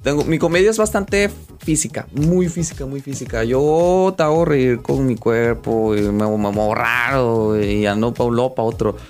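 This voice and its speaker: A man's voice: medium pitch, normal speed, darkish tone, soft background music. A man with a northern Mexican accent chatting casually as an interview guest, a comedian who often hesitates and searches for words. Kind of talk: casual chat.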